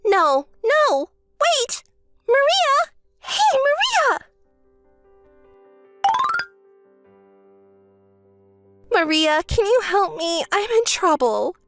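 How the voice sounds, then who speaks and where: A woman doing a shrill, whiny spoiled sister. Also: big pitch swings, unhurried, vocal fry, no room sound, studio quality, soft background music, exaggerated voice. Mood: pleading. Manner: shrill, begging, self-pitying. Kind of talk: storytelling with acted dialogue.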